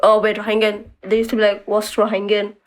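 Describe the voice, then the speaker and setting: A woman's voice: medium pitch, monotone, brisk. A young woman guest, talking casually in an interview with lots of fillers and restarts. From born in a refugee camp in Bangladesh, raised in the UK. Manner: chatty, many likes and restarts.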